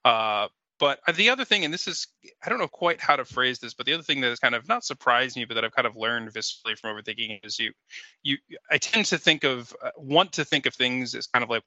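A man chatting casually as a podcast guest, halting and reflective, over a lo-fi connection. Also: mid-range voice, sharp tone, no room sound.

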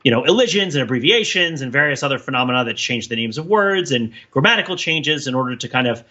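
A man's voice: medium pitch, expressive, fast talker, pushed and bright, muffled recording. A man co-hosting a podcast in casual chat, discursive, thinking aloud and often checking his points with "right?". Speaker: podcast co-host.

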